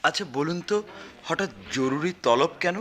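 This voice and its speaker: A man's voice: fairly high pitch, moderate pace, fairly bright tone, natural voice. A man playing a proud, principled, touchy poet in a radio play, polite but pointed. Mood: polite, curious. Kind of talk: 1990s radio play acting.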